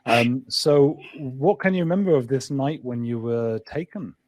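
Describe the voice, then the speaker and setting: A man's voice: mid-range voice, unhurried, a little mellow, dry recording, poor audio. A man hosting a remote interview, upbeat and chatty, sometimes stumbling over his first words. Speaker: podcast host. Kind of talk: interviewer chat.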